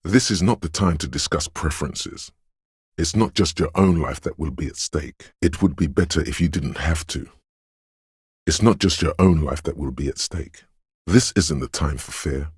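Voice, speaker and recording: A man voicing a brooding, cryptic inner voice of intuition in a video game, speaking in a hushed, deliberate, portentous way. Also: extremely low pitch, husky, no room sound, clean studio sound, full character voice. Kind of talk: scripted video game narration.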